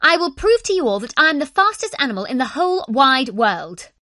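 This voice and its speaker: A woman voicing a boastful, energetic male hare in a children's story, with an excitable, bragging manner. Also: high voice, fairly quick, dry recording, clean studio sound, full character voice.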